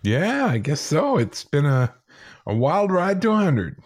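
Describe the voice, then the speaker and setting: A man's voice: a little high, very animated, unhurried. A man co-hosting a podcast with casual, joking banter. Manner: informal, joking. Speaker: podcast co-host.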